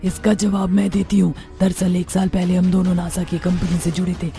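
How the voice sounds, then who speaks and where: A woman's voice: on the low side, flat delivery, fairly quick, dark tone, natural voice. A woman playing a hospitable, reassuring witch ghost in a cartoon story, with music behind her. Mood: explaining. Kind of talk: Hindi cartoon horror story acting.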